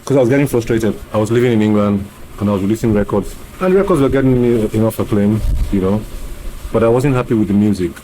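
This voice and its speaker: A man's voice: fairly low pitch, lively intonation, fast talker, dark tone, low-quality recording. A man with a Nigerian accent, answering interview questions conversationally as a musician. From Nigeria, grew up in Lagos. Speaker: musician and bandleader being interviewed.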